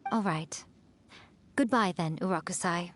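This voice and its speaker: A woman's voice: fairly low pitch, measured pace, fairly bright tone, slight background noise. An actress playing an elegant, centuries-old female yokai in an anime game, coy, self-assured and smugly teasing. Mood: fond.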